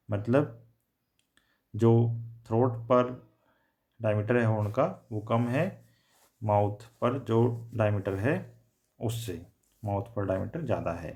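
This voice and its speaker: A man's voice: lowish voice, darkish tone. A man teaching a technical lesson, repeating and rephrasing his points and mixing in English terms. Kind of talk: explanatory technical lecture.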